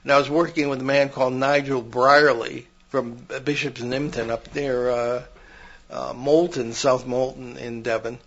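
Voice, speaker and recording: A man's voice: medium pitch, fairly even intonation, unhurried, a bit bright, lo-fi sound. An older man co-hosting a paranormal podcast, telling long stories with frequent restarts in casual chat. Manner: rambling, many restarts, storytelling.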